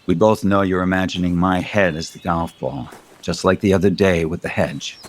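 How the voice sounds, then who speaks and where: An actor plays a teasing, wry man in an audio soap opera. His voice is deep and low. He banters without getting ruffled. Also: steady tone, moderate pace, lightly acted. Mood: amused teasing. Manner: bantering, unruffled. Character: wry, teasing man sparring with an old flame.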